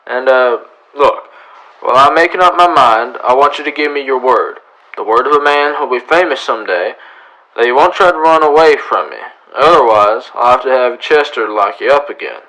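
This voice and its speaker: An actor playing a calm, dry-spoken lawman of the Old West in a western radio drama, unhurried and laconic. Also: medium pitch, rough recording. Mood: wry, kindly.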